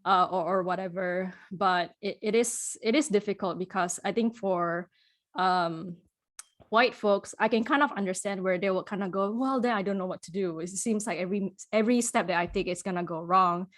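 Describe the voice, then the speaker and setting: Halting, laughing woman from Singapore. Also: mid-range voice. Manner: thoughtful, halting, many restarts and fillers, laughs often. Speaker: podcast guest. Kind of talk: casual conversational interview.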